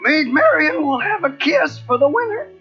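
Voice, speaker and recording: A friendly friar acted by a man speaks high and eagerly, encouraging the listener. It sounds like a children's storybook cassette, slightly dull with faint music. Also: sing-song, normal speed, sharp tone, exaggerated voice. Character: friendly male friar bringing exciting news. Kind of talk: children's cartoon storybook acting.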